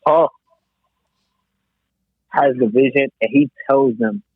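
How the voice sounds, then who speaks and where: A man's voice: mid-range voice, huge pitch swings, slow, creaky, husky, no echo. A man on a podcast panel in a casual, emphatic debate, swearing freely. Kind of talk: casual hip-hop debate. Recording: thin phone-like line.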